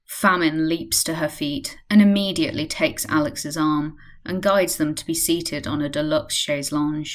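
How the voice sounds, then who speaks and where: A woman's voice: low-pitched, fairly even intonation, normal speed, hardly any pauses. A woman narrating a scripted radio play.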